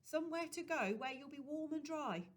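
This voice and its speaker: Woman doing gentle poor young street woman. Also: high voice, expressive, normal speed, pitched above the performer's own voice.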